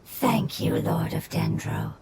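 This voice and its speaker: A woman voicing a calm, wise fungus spirit in a video game, breathy, with formal, measured phrasing. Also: noisy background, lightly acted. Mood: grave, grateful. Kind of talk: video game character acting.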